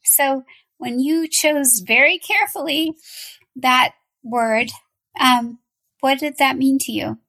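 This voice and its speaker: A woman hosts an interview podcast, her voice bright and a touch high in pitch. She asks her questions gently and thoughtfully, building on what her guest says. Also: slow, gravelly, rough recording. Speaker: podcast host.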